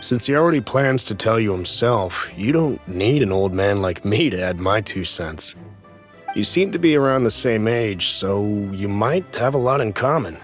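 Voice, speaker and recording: A man playing a gentle, hospitable old man in a video game, polite, kindly and measured in his speech. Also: on the low side, animated, soft background music, natural voice. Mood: kindly, amused.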